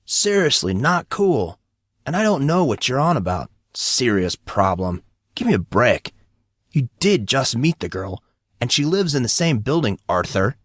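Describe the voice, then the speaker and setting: A man's voice: mid-range voice, very animated, a little slow, a bit bright, no room sound, clean studio sound. A man voicing a proud, slangy teenage boy in an audiobook, easily riled and informal in his talk. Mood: indignant.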